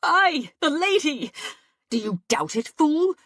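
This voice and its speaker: Woman voicing a shrill weeping mistress. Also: sing-song, takes it slowly, fairly bright tone, no room sound, studio quality, full character voice. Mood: accusing. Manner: wailing, shrill, demanding. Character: shrill tearful scheming young mistress.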